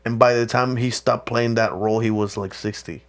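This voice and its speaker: A man's voice: mid-range voice, fairly even intonation, moderate pace. Male podcast co-host, native Spanish speaker with a light Spanish accent, loose casual delivery, frequent restarts, casual swearing. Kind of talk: casual chat.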